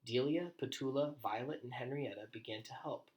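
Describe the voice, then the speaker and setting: A man reads a storybook aloud in an animated, deliberate way, as if for young children. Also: medium pitch. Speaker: storybook reader. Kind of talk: expressive children's read-aloud with quieter asides.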